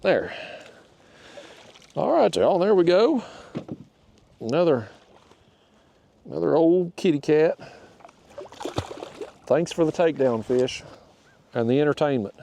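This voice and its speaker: A man's voice: fairly high pitch, big pitch swings, slow pace, gravelly, long pauses, dark tone, slight background noise. A man, stuffed up and nasal, chatting to viewers and to the fish while out on a kayak. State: stuffed-up, nasal from a cold wind. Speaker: kayak fishing channel host. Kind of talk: casual narration while fishing. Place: outdoors on a kayak on a river.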